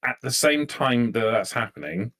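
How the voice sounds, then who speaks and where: A man acting as game master, narrating a tabletop game in a halting, improvised way and repeating phrases as he thinks. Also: mid-range voice, expressive, fairly bright tone.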